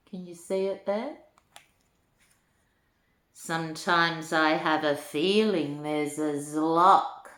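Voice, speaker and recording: Older woman reading slowly, echoey room. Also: low voice, a bit bright. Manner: unhurried, lilting, talking to young children. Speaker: grandmother reading a storybook to children. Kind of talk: read-aloud children's rhyming book with chatty asides.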